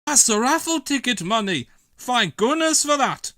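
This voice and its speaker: Storybook fireman, voiced by a male narrator: earnest, anxious, kindly, bright-toned. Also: extremely high pitch, sing-song, normal speed, dry recording, clean studio sound, pitched above the performer's own voice, full character voice. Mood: relieved.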